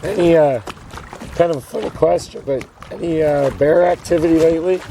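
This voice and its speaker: A man with a hoarse, failing voice, chatting casually to viewers while paddling a canoe on a river. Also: a little high, fairly even intonation, fairly quick, dark tone. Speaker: vlogger on a solo canoe trip. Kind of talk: casual talk to camera. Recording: outdoor noise of wind and water. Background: wind and water noise.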